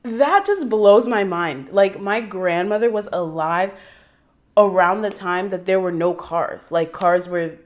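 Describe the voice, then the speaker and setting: A woman's voice: mid-range voice, unhurried. A young American woman telling personal stories casually, in a chatty, rambling, slangy way. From New York City, United States. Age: young adult. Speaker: vlogger.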